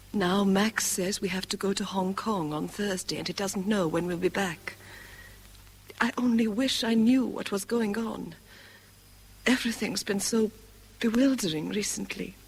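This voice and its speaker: An actress in a vintage British radio drama playing a troubled, secretive lover, earnest and hesitant. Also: female voice, mid-range voice, close to an everyday voice. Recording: faintly hissy vintage radio drama recording.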